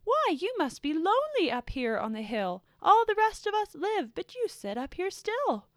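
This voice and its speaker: A tiny, brave little creature with a kind heart, talking earnestly in a high, bright voice that rises and falls, as a woman reads him in a storybook. The sound is close and dry. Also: wildly swooping pitch, moderate pace, no room sound, heavily acted. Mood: gentle.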